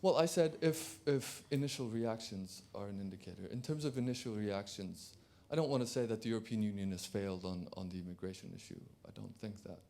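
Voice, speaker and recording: A man's voice: lowish voice, fairly quick, gravelly, hardly any pauses, mellow. A man from Turkey speaking from the floor at a conference, hesitant, with many fillers and restarts. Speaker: audience member.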